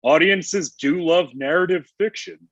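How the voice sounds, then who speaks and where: A man's voice: a little high, measured pace, a bit bright, muffled recording. A man playing a grumpy, ancient Santa Claus in a comedy table read, with a blunt, testy, conversational manner. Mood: stern.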